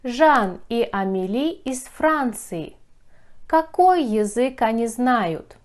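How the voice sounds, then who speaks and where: A woman's voice: on the high side, very animated, slow pace. A woman from Russia teaching a language lesson, speaking carefully and repeating phrases for learners. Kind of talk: slow, clearly enunciated language lesson.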